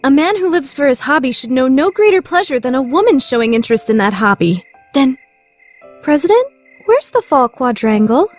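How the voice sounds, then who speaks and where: A woman's voice: sits high, very animated, brisk, faint music behind. A woman playing a proud, scheming young lady student in an anime-style radio play, theatrical and easily flustered. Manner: theatrical, shifting between haughty plotting and flustered outbursts.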